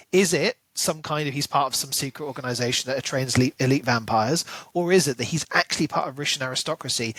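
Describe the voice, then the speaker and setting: A man's voice: medium pitch, pushed and bright, dry recording, rough recording. A man co-hosting a podcast in a casual book discussion, speculating aloud and posing questions as he goes. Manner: speculative, rhetorical questions, acts out quotes. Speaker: podcast co-host.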